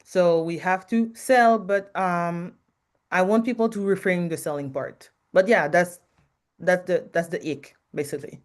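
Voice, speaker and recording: A loud, frank French-Canadian woman speaks in a fairly low voice as a podcast guest. She hesitates often, searching for words with ums. She talks openly about her work, and her speech has the plain feel of an interview conversation. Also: unhurried. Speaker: podcast guest, marketing advisor.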